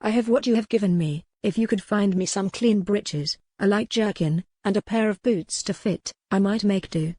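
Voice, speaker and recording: Young highborn lady for historical romance, read by a female narrator: proud, quick-witted, courtly, in a dry studio sound. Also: mellow, dry recording, natural voice. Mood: guarded. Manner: formal, courtly, spirited. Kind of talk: audiobook character dialogue in historical romance.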